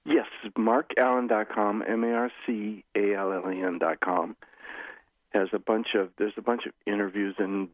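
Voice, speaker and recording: A man in his sixties, a guest author speaking calmly and leading a slow guided meditation. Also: on the low side, dry recording. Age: 60s. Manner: slow, soothing guiding voice. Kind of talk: guided meditation and interview talk. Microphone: phone call. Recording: tinny phone line.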